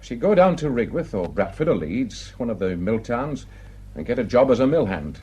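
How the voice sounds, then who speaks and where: A man's voice: medium pitch, sing-song, normal speed, a little mellow, slightly rough. An actor playing a gossipy, plain-spoken village man with a Northern English accent, telling indignant tales in an emphatic, colloquial way in a radio drama. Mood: wry indignation. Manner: garrulous, emphatic, colloquial storytelling.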